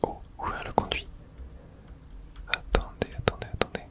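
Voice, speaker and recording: Someone whispering throughout an ASMR let's play, narrating the game and reading its texts aloud in a hush. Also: normal speed. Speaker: host playing and narrating a game.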